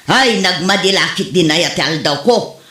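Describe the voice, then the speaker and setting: A man's voice: high voice, expressive, moderate pace, sharp tone. A man playing a stingy, nagging wife and mother who complains and scolds, in an amateur comedy drama. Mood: fussing. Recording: roomy, echoing room sound.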